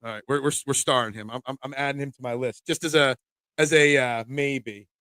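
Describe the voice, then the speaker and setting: A man's voice: medium pitch, steady tone, brisk, bright, forceful tone, no echo, clean studio sound. A man hosting a casual sports betting preview show, chatting with his co-hosts.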